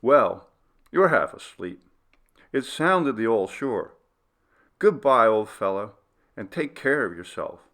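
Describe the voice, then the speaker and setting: A man's voice: lowish voice, big pitch swings, slow pace, pauses often, fairly bright tone, heavily acted. A man voicing a hearty, wry male friend in a storybook reading, jovial and emphatic as he teases his companion. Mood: hearty farewell. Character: hearty, teasing male friend seeing someone off.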